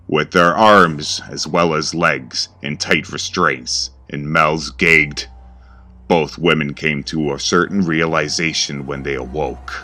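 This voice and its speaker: A man with an extremely deep voice delivers scripted horror narration. He goes slowly and deliberately, dramatic and ominous, with a sharp, forceful tone. Music plays behind him.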